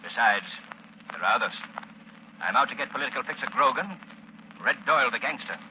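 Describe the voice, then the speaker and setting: An actor playing a suave, determined amateur sleuth in a 1940s radio drama, explaining things with crisp assurance. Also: male voice, mid-range voice, lively intonation, a little fast, pushed and bright. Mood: grave, assured. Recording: dull, faintly noisy old radio recording.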